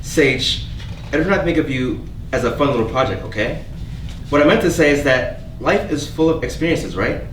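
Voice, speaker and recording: A man playing a gentle, hopeful, quietly wounded character who speaks with halting sincerity in a staged play reading. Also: medium pitch, lively intonation, lightly acted. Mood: earnest, reassuring. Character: gentle, earnest, hopeful stranger named Avery, quietly wounded. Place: theatre staged reading, recorded from a distance. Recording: echoey room, distant mic, background noise.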